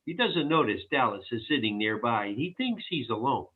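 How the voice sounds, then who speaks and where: Tinny, husky man reading stage directions. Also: medium pitch, lively intonation, brisk. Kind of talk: scripted narration. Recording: tinny phone-like call line.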